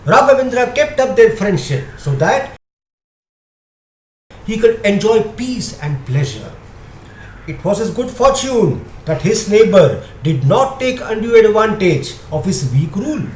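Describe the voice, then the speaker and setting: A man narrating a scripted moral story in a measured, carefully enunciated storybook manner. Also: high voice, big pitch swings, darkish tone. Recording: echoey room with faint noise.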